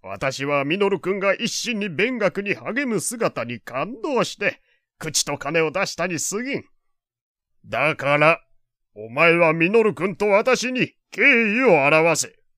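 A wealthy elderly gentleman in an audio drama. His fairly high voice rises and falls freely as he speaks in grand, archaic phrases with a teasing courtesy. The sound is close and dry. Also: sing-song, takes it slowly, no room sound, full character voice. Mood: self-satisfied. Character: elderly male wealthy patron, genteel, teasing, old-fashioned. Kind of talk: Japanese audio drama acting.

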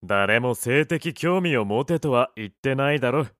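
An actor playing a gruff, vain café owner who talks bluntly and casually in an audio drama. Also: male voice, medium pitch, animated, moderate pace, fairly bright tone, no room sound, clean studio sound, natural voice. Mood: dry teasing. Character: gruff, grumbling male café owner, rough-edged, vain. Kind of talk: Japanese audio drama acting.

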